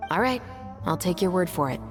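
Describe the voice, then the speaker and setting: A woman acting a low-voiced, composed patrol captain. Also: expressive, close to an everyday voice. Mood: calm, probing. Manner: measured, explanatory, politely firm. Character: composed, methodical young female patrol captain investigator. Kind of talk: video game voice acting. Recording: music playing behind the voice.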